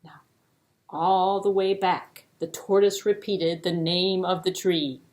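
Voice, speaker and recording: A woman storyteller narrating a children's puppet show expressively, with dramatic pauses and playful delivery. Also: fairly low pitch, steady tone, a little mellow.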